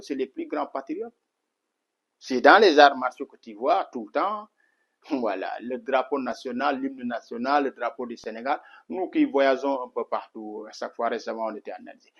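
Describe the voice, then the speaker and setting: A middle-aged man from Senegal speaks earnestly at a moderate pitch, his sentences circling back through restarts and repeated fillers. He talks as a martial arts instructor giving an interview. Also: gravelly, husky. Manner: earnest, repetitive, many restarts and "voilà" fillers. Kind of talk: spoken interview answer.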